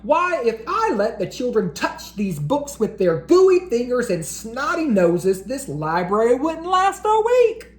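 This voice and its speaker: A woman's voice: very animated, measured pace, hardly any pauses, roomy sound. A woman playing a sarcastic fire-breathing dragon librarian. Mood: scornful. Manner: snappish, sarcastic, high and loud. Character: fiery grumpy female dragon librarian.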